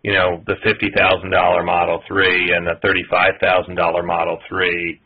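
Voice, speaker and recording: A man asking long, detailed, measured questions as an analyst on an earnings conference call. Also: deep voice, monotone, husky. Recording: muffled, tinny conference phone line.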